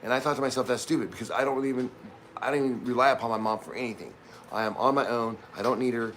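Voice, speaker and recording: A man's voice: mid-range voice, fairly even intonation, rapid-fire, a bit bright. A man in his fifties talks loosely on a home livestream and keeps restarting his sentences. He is in a kitchen, with faint household noise behind him. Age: 50s. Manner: rambling, with many restarts and asides. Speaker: livestream co-host. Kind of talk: casual livestream chat.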